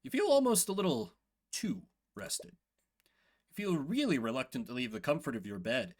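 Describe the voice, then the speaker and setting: A man's voice: mid-range voice, big pitch swings, normal speed. A man reading scripted narration aloud from a game as a streamer. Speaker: streamer, solo voice performer.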